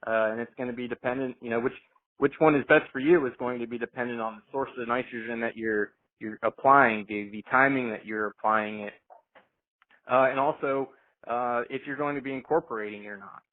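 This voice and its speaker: A man giving an informal technical explanation as a products specialist, halting and repeating words as he goes. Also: medium pitch, steady tone. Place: conference call. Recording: muffled, band-limited conference call line.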